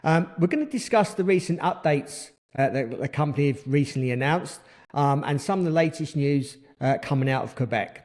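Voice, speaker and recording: A man's voice: fairly high pitch, expressive, brisk, a little mellow. A man hosting a podcast interview, asking questions in a conversational, slightly hesitant way. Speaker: podcast host.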